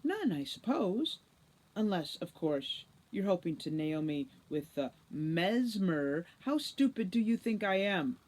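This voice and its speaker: A woman's voice: fairly low pitch, big pitch swings, takes it slowly, darkish tone, heavily acted. A woman reading a storybook, voicing a vain, gushing, teasing teenage girl captor.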